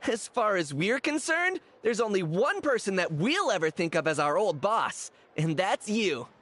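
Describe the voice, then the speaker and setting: Lively, cheeky young gang kid. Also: female voice, mid-range voice, moderate pace, breathy edge. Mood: admiring. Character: cheeky, warm-hearted young street-gang kid who cooks. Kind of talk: video game character dialogue.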